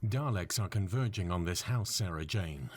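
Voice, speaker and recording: A calm computer intelligence acted by a man in a science-fiction audio drama. The male voice is fairly deep and husky, with courteous, measured phrasing. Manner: formal, measured, polite. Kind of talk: sci-fi audio drama acting.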